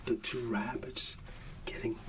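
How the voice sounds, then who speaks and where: Slow, whispery man reading a bedtime story. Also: mid-range voice, flat delivery, distant mic. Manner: slow and soothing, often dropping into a whisper. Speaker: ASMR storyteller playing a dad.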